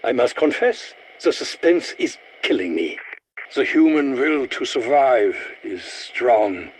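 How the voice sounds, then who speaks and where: An actor playing an aging, polite but indignant German scientist in a video game, speaking in a formal, pedantic, theatrical way with a German accent. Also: male voice, mid-range voice, very animated, slow, a little hiss or hum. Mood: dry, wry concern. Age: older. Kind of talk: video game character voice lines.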